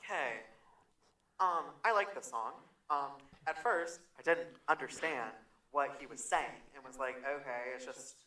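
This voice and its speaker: A man's voice: mid-range voice, measured pace, bright, forceful tone, a little hiss or hum. A man co-hosting a casual podcast about music, speaking in an emphatic, opinionated and playfully combative way. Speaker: podcast co-host.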